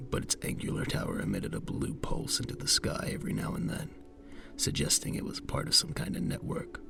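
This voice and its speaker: A man narrating a scripted science fiction audio drama in a measured storytelling manner. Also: low-pitched, creaky.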